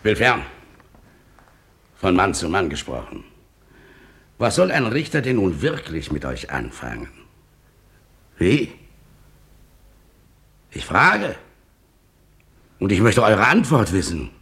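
Pompous, deep, drawling magistrate. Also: male voice, wildly swooping pitch, fairly bright tone, full character voice. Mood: condescending, demanding. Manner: pompous, drawn-out, lofty. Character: pompous, condescending magistrate and Lord Mayor. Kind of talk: 1950s German radio play acting.

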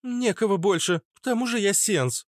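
A man voicing a defiant, foul-mouthed teenage girl with a squeaky, snappy voice in a narrated story. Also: moderate pace, no echo, clean studio sound, natural voice. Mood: sassy boasting. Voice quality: squeaky, shrill. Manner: snappy, hissing, insolent.

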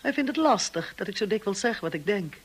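A woman playing a blunt, upper-class sister-in-law in a radio drama, candid, brisk and probing. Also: medium pitch, expressive, slightly rough. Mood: confiding.

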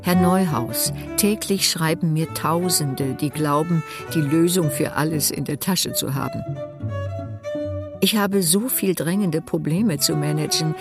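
Stiff official, very low voice, gravelly, loud music underneath. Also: female voice, measured pace. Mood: formal, dismissive. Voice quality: stiff formal bureaucratic tone. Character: cool, formal female office official. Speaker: official reading out reply letters. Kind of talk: radio drama letter reading.

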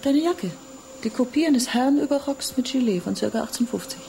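A woman's voice: sits high, animated, fast, creaky, dark tone. An actress in a radio drama playing a sceptical, irreverent woman who is blunt and mocking. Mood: dry, pointed. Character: sceptical, sharp-tongued, irreverent woman visiting a town. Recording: faint background noise.

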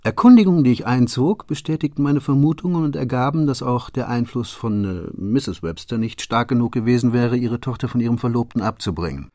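An actor playing a shrewd detective in a German radio play, laying out his case in a deliberate, formal and precise manner. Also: mid-range voice, a little mellow, no echo, clean studio sound, lightly acted. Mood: methodical, assured. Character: shrewd, methodical male detective recounting his solved case.

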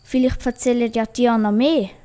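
A woman's voice: fairly high pitch, fairly even intonation, normal speed, dark tone, close to an everyday voice. A woman playing a reluctant but sly young boy in a children's audio drama. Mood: sly, bold.

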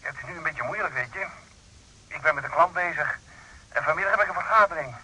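An actor in a radio drama playing a busy, brisk but concerned friend, with a rough voice over the telephone. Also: male voice, fairly high pitch, pushed and bright, full character voice, low-quality recording. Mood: harried, impatient. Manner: brisk, impatient yet caring.

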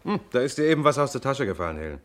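An actor playing a persistent, courteous but stern police detective who questions in a measured way, in a German radio crime play. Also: male voice, mid-range voice, big pitch swings, natural voice. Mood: probing, courteous. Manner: interrogating, measured, insistent.

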